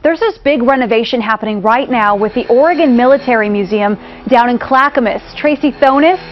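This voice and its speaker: A woman's voice: on the high side, fairly quick. Upbeat woman hosting live TV. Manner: upbeat, effusive reactions. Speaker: TV show host. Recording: dull broadcast audio.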